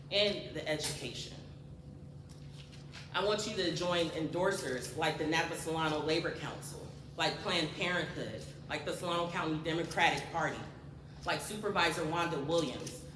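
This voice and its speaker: A woman's voice: low-pitched, background noise. Female candidate, confident and emphatic; distant mic in a reverberant hall. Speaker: candidate at a forum. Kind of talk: campaign pitch. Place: large community cultural center hall.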